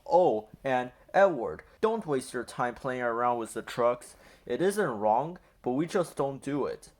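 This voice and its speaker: A man's voice: mid-range voice, expressive, unhurried, darkish tone, close to an everyday voice. A man voicing a boastful, grumbling big steam engine with a pompous, huffy manner in a children's storybook.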